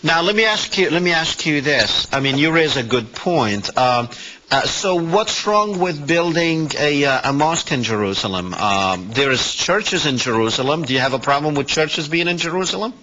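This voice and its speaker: A man's voice: a little high, animated, normal speed, pushed and bright. A man hosting a live call-in discussion, explaining points and challenging with questions. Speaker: host of a call-in talk show. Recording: slightly muffled broadcast audio.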